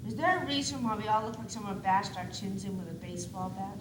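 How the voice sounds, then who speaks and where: A woman's voice: mid-range voice, fairly even intonation, fast talker, lightly acted. A young woman playing a grouchy, sarcastic baby doll boy in an amateur stage comedy, complaining sardonically. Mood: sullen sarcasm. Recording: echoey far-off stage, noisy old videotape.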